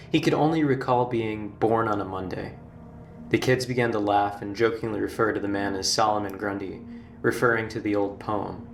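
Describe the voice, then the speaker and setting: A man narrating a scripted horror documentary in a measured, formal storytelling manner. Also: lowish voice, a little hiss or hum. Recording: roomy, distant playback with music. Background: background music.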